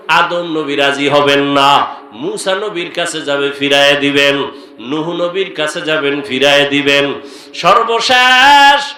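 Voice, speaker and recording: A man's voice: a little high, pushed and bright. A man preaching an Islamic sermon with emotional appeals, stretching his calls into melodic chant. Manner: emotional exhortation, direct appeals to listeners, drawn-out melodic calls. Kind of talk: fervent religious sermon, partly chanted. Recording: echoing amplified sermon sound.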